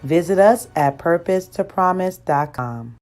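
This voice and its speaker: A woman reading a scripted commercial voice-over in a measured promotional way. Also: on the low side, animated, darkish tone, soft background music. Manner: slow, measured promotional delivery.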